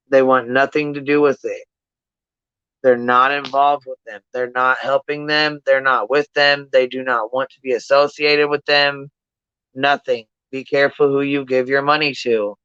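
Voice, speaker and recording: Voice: medium pitch, a bit bright, smooth, no echo, dull audio. A livestream host giving casual, blunt commentary to viewers in slangy, profanity-laced speech, often repeating points for emphasis.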